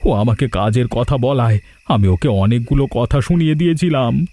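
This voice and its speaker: An actor playing a lazy young village husband in a cartoon, whiny and stumbling over words. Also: male voice, mid-range voice, moderate pace, dark tone, lightly acted. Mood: distressed. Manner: stammering, repeats words when flustered. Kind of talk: Bengali cartoon dialogue.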